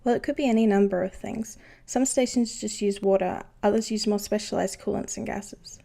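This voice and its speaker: A woman's voice: mid-range voice, fairly even intonation, moderate pace, vocal fry, warm tone. A woman playing a knowledgeable, unflappable ship's engineer who explains things patiently in an educational cartoon. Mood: patient explaining. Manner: patient, instructive. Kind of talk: scripted educational explanation in animation.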